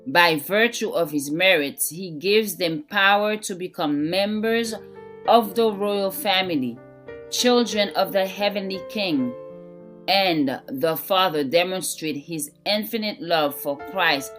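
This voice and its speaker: A woman reading a scripted devotional in a measured, deliberate way. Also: on the low side. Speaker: devotional reader. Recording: soft guitar music behind voice. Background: gentle plucked guitar music.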